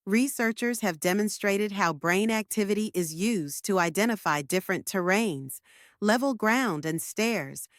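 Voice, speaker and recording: A woman giving a formal, measured read-aloud of scripted text as a test practice sample answer. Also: mid-range voice, animated, dry recording, clean studio sound.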